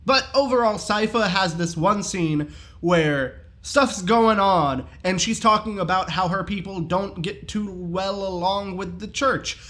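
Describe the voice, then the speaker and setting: A man giving a casual, enthusiastic review in an animated, jokey, slangy way. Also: high-pitched, unhurried.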